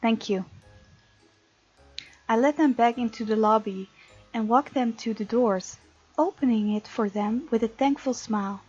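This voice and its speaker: High-voiced woman, dull lo-fi sound. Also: a little slow, pauses often, a little mellow, slightly rough, soft background music. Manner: chatty asides while reading. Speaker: gaming video host. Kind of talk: let's play, reading aloud with casual comments.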